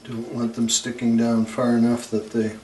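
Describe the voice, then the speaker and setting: A man narrating a hands-on repair tutorial in a halting, unscripted way, as if working at a bench indoors. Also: medium pitch, monotone, warm tone, faint music behind. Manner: hesitant, unscripted, halting delivery. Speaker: electronics repair video host. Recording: roomy, distant mic, noisy background.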